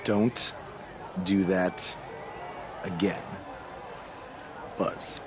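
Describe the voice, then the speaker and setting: A man's voice: low voice, extremely slow, a crowd in the background, background noise. A man acting as a wry, earnest, stubborn young pro wrestler in a video game, speaking casually with a sarcastic edge. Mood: wry, subdued. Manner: sarcastic, animated. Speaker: wrestler lead character. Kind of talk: video game cutscene dialogue, casual banter.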